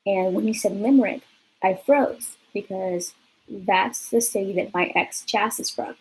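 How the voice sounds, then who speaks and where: A woman's voice: mid-range voice, measured pace, breathy edge, poor audio. A young woman from California telling a rambling personal story in a casual vlog, full of fillers and swearing. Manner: chatty, lots of fillers and swearing. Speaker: vlogger.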